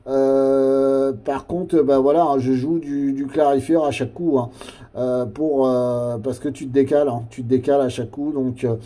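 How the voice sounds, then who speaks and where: A man talks casually over amateur radio. His tone is very mellow and muted, at a middling pitch. He proceeds haltingly, circling back over his phrases. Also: fairly even intonation. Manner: hesitant, halting, repeats phrases.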